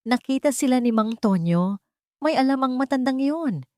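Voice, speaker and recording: A woman's voice: sits high, lively intonation, moderate pace, darkish tone, no echo, clean studio sound, voice pushed up. A woman voicing a cruel, scheming, murderous husband as the villain of an audio drama story. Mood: bitter, menacing.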